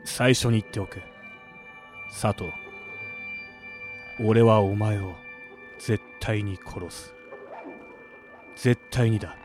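An actor playing a cold, vengeful older brother who speaks in terse commands in a radio drama. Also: male voice, on the low side, steady tone, slow pace, pauses often, music in the background. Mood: bitter, resolute.

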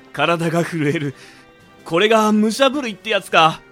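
A stubborn, theatrical young ringleader, voiced by a man in a comic radio play. His voice is very high and bright with lively swings, over background music. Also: slow pace, heavily acted. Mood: grandly resolute. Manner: theatrical, grandiose. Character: dramatic, stubborn young ringleader relishing the ordeal. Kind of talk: comedy radio drama acting.

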